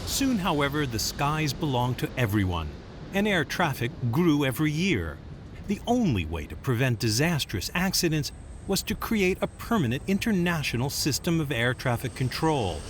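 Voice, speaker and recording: A man's voice: fairly high pitch, a little hiss or hum. A man reading scripted documentary narration as the narrator.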